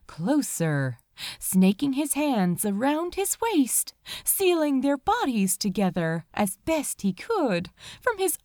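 Very animated, slow-paced woman storyteller. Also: on the high side, dry recording, studio quality. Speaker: fan fiction reader. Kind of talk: dramatic scripted story narration.

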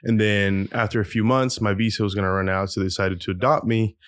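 Young man from Peru, low smooth voice, guest telling his life story in a candid, halting way. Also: steady tone, no room sound, clean studio sound. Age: 20s. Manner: open, reflective, many ums and restarts. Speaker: podcast guest. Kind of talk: casual interview storytelling. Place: guest's home.

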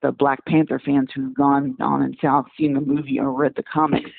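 A man's voice: mid-range voice, flat delivery, normal speed, vocal fry, breathy edge, no echo. A man in his forties from Congo, a physician guest giving earnest interview answers that repeat words while he searches for phrasing. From Democratic Republic of Congo. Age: 40s. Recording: dry, tinny phone-like call line.

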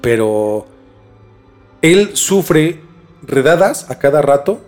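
A man with a Mexican accent telling a story casually as a podcast host, informal and halting as he searches for words. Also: on the high side, sing-song, a little mellow, faint music behind.

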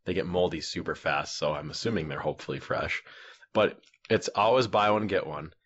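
Rambling low-voiced podcast man. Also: fairly quick, a bit bright, slight background noise. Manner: rambling storyteller, acts out dialogue. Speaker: podcast co-host. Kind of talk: casual chat. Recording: dull lo-fi sound.

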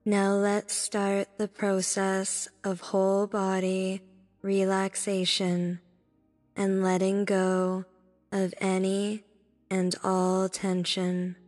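A woman narrating a guided meditation in a soothing, deliberate manner, speaking in short instructive phrases. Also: mid-range voice, completely flat delivery, darkish tone. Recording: long reverb with background music. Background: music.

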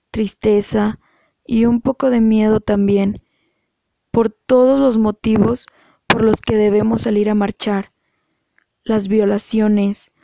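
A woman with a Mexican accent giving an earnest, measured testimony as a guest speaker. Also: medium pitch, dark tone, no room sound, rough recording. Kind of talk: prepared testimony.

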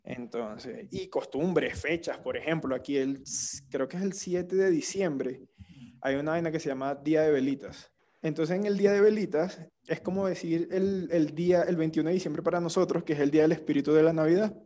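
Young Venezuelan man, casual co-host, slightly high voice, relaxed slangy delivery. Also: moderate pace. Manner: relaxed storytelling with slang and restarts. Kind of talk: casual chat.

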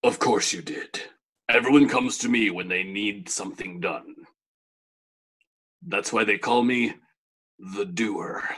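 A man playing a self-important gruff vigilante in a comedy sketch, husky and pompous, recorded away from the microphone. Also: fairly low pitch, slow pace, long pauses, fairly bright tone, distant mic, heavily acted. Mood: smug.

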